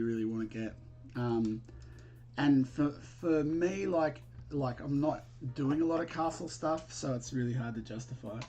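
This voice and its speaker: A man's voice: medium pitch, a little mellow. A man with an Australian accent hosting a casual live stream, chatting informally with viewers and thinking aloud in a hesitant, rambling way. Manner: friendly, informal greetings to viewers. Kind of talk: casual chat while building, answering viewers.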